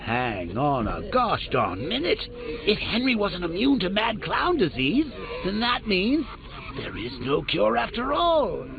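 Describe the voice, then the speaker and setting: A man's voice: sits high, wildly swooping pitch, unhurried, crowd noise, full character voice. An actor voicing a manic male clown villain in a video game, taunting in a theatrical, mocking way with sudden cackles. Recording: tinny lo-fi capture with clear hiss.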